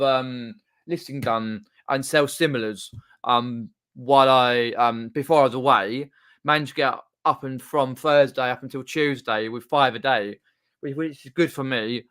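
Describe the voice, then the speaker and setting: Man, livestream host, casual unscripted chat, bright clear voice, halting and rambling delivery. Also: medium pitch. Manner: rambling, halting, often trailing off mid-sentence. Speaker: live streamer and video channel host. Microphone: desktop condenser microphone.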